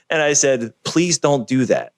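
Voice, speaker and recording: A man from the United States, a video producer, talking in a relaxed, storytelling way as a guest on a video call, often adding "right?". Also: medium pitch, slow, no echo, studio quality. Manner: animated storyteller, frequent "right?" tags. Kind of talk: conversational interview.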